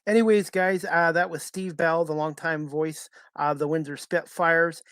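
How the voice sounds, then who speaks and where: A man hosting a podcast in a casual, halting, informal way. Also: a little high, fairly even intonation, fairly bright tone, smooth, dry recording, clean studio sound. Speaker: podcast host.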